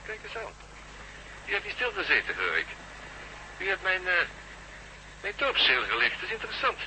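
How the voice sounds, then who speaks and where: A man's voice: sits high, wildly swooping pitch, moderate pace, pushed and bright, slight background noise, full character voice, rough recording. A male actor playing a suave, mocking villain in a radio play, coolly polite and taunting over a telephone. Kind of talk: radio drama acting.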